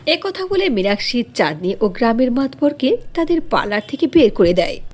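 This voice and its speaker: Lively, higher-pitched woman storyteller. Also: normal speed. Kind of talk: scripted cartoon story narration.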